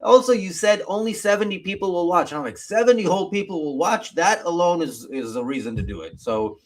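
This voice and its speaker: A man's voice: high voice, expressive, brisk. A man hosting a casual live talk show, speaking in a bantering, teasing way.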